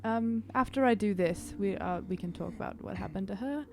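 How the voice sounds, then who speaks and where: A woman playing a tabletop roleplaying game, describing her character's actions in a halting, detailed way. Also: medium pitch, gravelly, a little mellow, slight background noise. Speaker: player. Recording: prominent music behind the voice.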